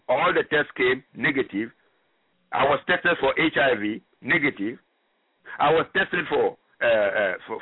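A man's voice: fairly high pitch, husky, no room sound. A middle-aged man from Gabon, a native French speaker, telling his personal story with emphatic repetition and many fillers. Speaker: guest. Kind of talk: personal story on call-in radio. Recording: tinny phone line.

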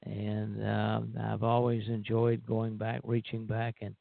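Gravelly older man on a phone line. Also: on the low side, monotone, slow, no room sound. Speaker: radio show co-host. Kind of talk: casual reminiscing on air. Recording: dry, narrow phone line.

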